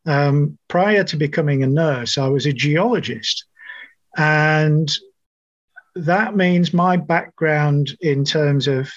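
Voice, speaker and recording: A man's voice: a little high, takes it slowly, dry recording. New Zealand man, muffled dry audio. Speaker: interview guest, registered nurse. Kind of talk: conversational interview storytelling.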